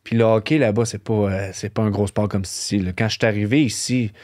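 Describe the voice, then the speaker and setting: A man with a Québécois accent, a hockey player being interviewed, telling stories in a relaxed, rambling way. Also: lowish voice, fairly quick. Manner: relaxed, rambling, lots of "tu sais". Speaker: professional hockey player, guest. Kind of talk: casual interview storytelling.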